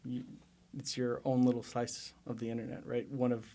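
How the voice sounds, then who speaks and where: A male podcast host speaks at an ordinary pitch, his voice carrying a strong gravelly fry. He keeps the chat going with friendly prompts and questions. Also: completely flat delivery, moderate pace, mellow. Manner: encouraging, asks follow-up questions. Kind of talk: interviewing a guest.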